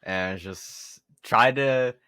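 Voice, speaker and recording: A man's voice: on the low side, very slow, bright, forceful tone, smooth. A young man co-hosting a podcast, chatting casually about himself in slangy, self-mocking gamer talk. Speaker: podcast co-host.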